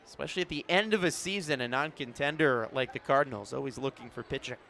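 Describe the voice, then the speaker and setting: An American man doing sports play-by-play commentary, measured between plays and rising to excited calls. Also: medium pitch, gravelly, a little hiss or hum.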